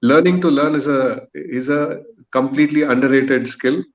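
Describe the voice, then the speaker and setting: A man in his thirties giving a thoughtful, structured explanation as a podcast guest, pausing often to find his words. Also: medium pitch, fairly even intonation, dull audio. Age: 30s. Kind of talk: thoughtful panel discussion answer.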